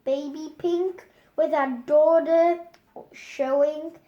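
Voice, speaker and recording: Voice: sits high, steady tone, slow pace, warm tone, echoey room, highs cut off. A child reading a children's storybook aloud, carefully, with stumbles and repeated words.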